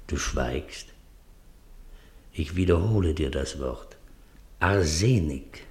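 An actor playing a domineering, shady seance master, commanding and coaxing, in a 1950s German radio play. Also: male voice, very deep voice. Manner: commanding, slow coaxing turning brusque. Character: domineering, shady man running a child medium's seance.

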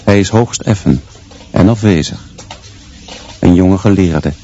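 An actor playing a grim, contemptuous schoolteacher in a radio drama, narrating in clipped, deliberate short sentences. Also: male voice, low voice, mellow. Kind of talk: literary radio drama monologue. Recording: dull, muffled sound.

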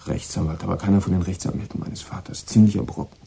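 An actor playing a troubled, guilt-ridden American boy of sixteen in a German radio drama, speaking haltingly and repeating words in agitation. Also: low-pitched, steady tone, gravelly, mellow, a little hiss or hum. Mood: subdued, weary.

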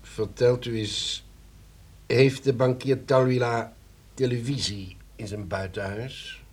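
A man playing a shrewd, sardonic police commissioner in a Dutch radio play, speaking formally with probing, dryly ironic questions in a husky voice. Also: mid-range voice, fairly even intonation, takes it slowly. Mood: dryly questioning.

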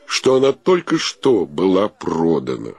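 A man's voice: sits low, wildly swooping pitch, measured pace, creaky, heavily acted. An actor playing a wry literary narrator, a man telling a comic tale in a radio play. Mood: ironic.

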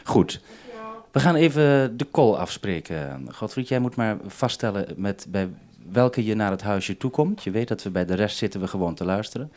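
A man with a fairly low voice hosts a two-way radio exchange. He talks off the cuff, haltingly, often breaking off and restarting his sentences as he feels for the wording. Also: animated, darkish tone. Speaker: radio programme maker and host. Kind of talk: unscripted two-way radio conversation.

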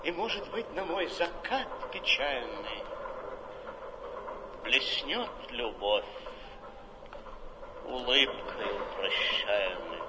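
Slow, ardent, melancholy man of letters. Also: mid-range voice, sing-song. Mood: melancholy, yearning. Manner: declamatory, heartfelt, with dramatic pauses. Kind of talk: theatrical radio drama reading of letters and verse. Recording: noisy, hissy radio play recording.

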